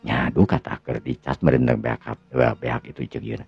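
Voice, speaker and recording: Easygoing Sundanese-accented husband, acted by a man, bottom-register gravelly voice, casual domestic radio-drama talk, dry sound. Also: rumbling low, lively intonation, normal speed, no echo, pitched below the performer's own voice, exaggerated voice. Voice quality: gravelly, husky. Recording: dull lo-fi recording with music.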